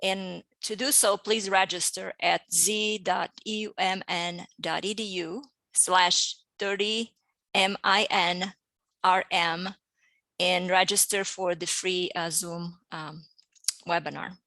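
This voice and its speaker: Bright, creaky-voiced woman hosting a webinar. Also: lowish voice, steady tone, slow pace, dry recording, poor audio. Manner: friendly, with frequent fillers. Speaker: host.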